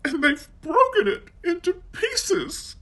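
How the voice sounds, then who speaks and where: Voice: very high voice, wildly swooping pitch, takes it slowly, a bit bright, voice pushed up, full character voice. A storyteller voicing a little baby bear in a storybook, with a teeny tiny, crying voice. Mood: upset.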